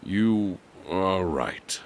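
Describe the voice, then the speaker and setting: An actor playing a bold, wary barbarian warrior in a fantasy audio drama, speaking in terse, blunt phrases. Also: male voice, sits low, very slow, slight background noise.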